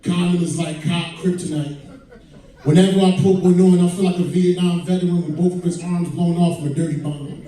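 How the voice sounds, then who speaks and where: High-voiced male comedian in an echoey room. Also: little pitch movement, a little fast, mellow. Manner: profane, conversational storytelling. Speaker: stand-up comedian. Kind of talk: stand-up comedy to an audience. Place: indoor comedy venue. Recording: very echoey live room, distant mic.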